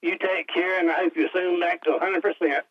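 A man's voice: sits high, little pitch movement, fairly quick. A man calling in to a radio show, chatting in a friendly, plain-spoken way. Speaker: caller. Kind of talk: phone-in caller chat. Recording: muffled broadcast audio.

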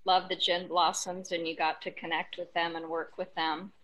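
A woman's voice: fairly low pitch, flat delivery, normal speed, a bit bright, muffled recording. A woman hosting an interview in a conversational style. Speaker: host. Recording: noticeable background noise.

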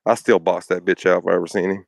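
A man's voice: low voice, flat delivery, quick pace, gravelly, darkish tone, no room sound, studio quality. A young man co-hosting a podcast in casual, profane banter, laughing often between jokes. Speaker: podcast co-host.

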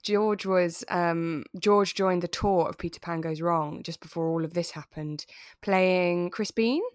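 A British woman with a fairly low voice co-hosts a casual podcast. She speaks in a chatty, informal, giggly way. The sound is close and dry, recorded remotely. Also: unhurried, no room sound. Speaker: podcast co-host. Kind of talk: relaxed casual chat between friends.

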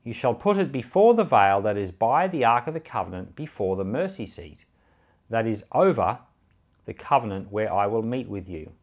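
Man reading scripture with lively intonation. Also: fairly low pitch, normal speed. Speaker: Bible reader and podcast host.

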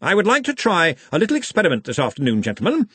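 A commanding older male wizard , put on by a man, pompous and oratorical. He pitches his voice up above the room with big swings in intonation, in fantasy audiobook style. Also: huge pitch swings, fast, fairly bright tone, breathy edge, dry recording, exaggerated voice.

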